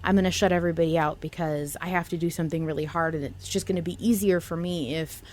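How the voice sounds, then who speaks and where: Earnest woman podcaster, slightly low voice. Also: steady tone, fast talker, darkish tone, slight background noise. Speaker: podcast host. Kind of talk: casual podcast discussion.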